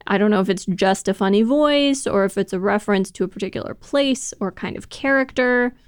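A woman's voice: medium pitch, a little fast, smooth, dry recording, clean studio sound. A woman co-hosting a podcast, giving informative commentary in casual chat with light laughs.

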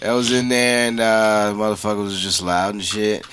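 A man's voice: lowish voice, little pitch movement, moderate pace, fairly bright tone, clean tone. A man chatting casually as a podcast guest, laid-back and rambling, with easy swearing. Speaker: podcast guest, stand-up comedian. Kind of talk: casual chat.

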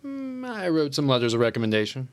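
An easygoing, self-satisfied male teacher in an audio play. His speech is casual and very lively in intonation, with a smug edge. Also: mid-range voice, quick pace. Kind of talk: naturalistic audio drama acting.

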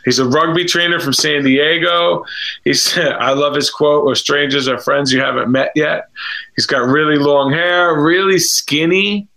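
A man in his thirties co-hosting a casual video-call stream, rambling and halting with many fillers. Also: a little high, fairly even intonation, creaky, pushed and bright, poor audio. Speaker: livestream co-host. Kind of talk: casual chat about reality TV contestants.

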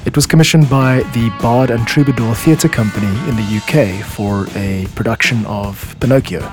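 A man's voice: medium pitch, normal speed. A man hosting a show as a music composer, giving scripted explanatory narration. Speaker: podcast host and music composer.

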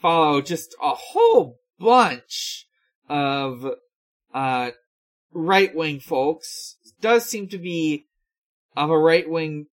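Podcast cohost chatting thru some news, slow n kinda halting, mid pitch voice. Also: lively intonation. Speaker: podcast co-host. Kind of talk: casual podcast chat, relaying news.

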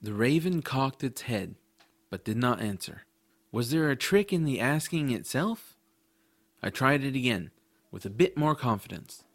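A man playing a curious, adventurous young boy who narrates his own story in an expressive, earnest audiobook style. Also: mid-range voice, measured pace, no echo. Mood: suspenseful. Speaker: narrator and lead character.

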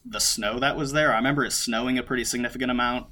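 A man's voice: mid-range voice, steady tone, racing, bright, forceful tone. A man in his twenties, a podcast guest, chatting casually and rambling with lots of fillers and restarts. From United States. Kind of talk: casual conversation.

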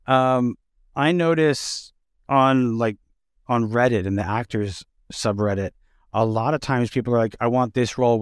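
A man hosting a casual interview, halting as he searches for his words. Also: mid-range voice, no room sound, studio quality. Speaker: interview host.

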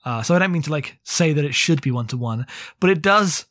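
Man, higher-pitched voice, close dry sound; opinionated, meandering podcast chat with plenty of filler "like" and swearing. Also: expressive, brisk, fairly bright tone, no room sound. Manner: rambling, heavy use of "like". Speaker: podcast co-host. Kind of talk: casual book discussion.

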